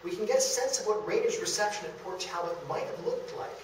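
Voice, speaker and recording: Male history lecturer, slightly high voice, very echoey room, picked up from a distance. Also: expressive, fairly quick, slight background noise. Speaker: lecturer presenting a history talk. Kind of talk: illustrated public lecture. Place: indoor meeting room of a historic house. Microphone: camera across the room, far from speaker.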